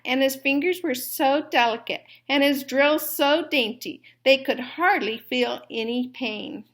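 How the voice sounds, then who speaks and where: A woman reading a children's story aloud and explaining it like a teacher, in a deliberate way. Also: high voice, a bit bright.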